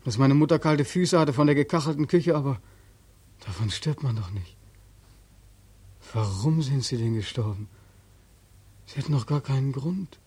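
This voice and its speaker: An actor playing a despairing, homeless soldier back from war, emotional and pleading, in 1940s radio drama style. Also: male voice, medium pitch, lively intonation, normal speed, long pauses, a little mellow, slightly rough, no echo. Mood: stunned, grieving. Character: despairing returned soldier, homeless, anguished and naive. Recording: dry 1940s radio recording.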